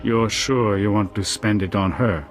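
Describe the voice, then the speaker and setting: A man acting a smooth, menacing arms dealer in a video game, oily and businesslike. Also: fairly low pitch, a little slow, soft background music, lightly acted. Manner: oily, businesslike, veiled threats.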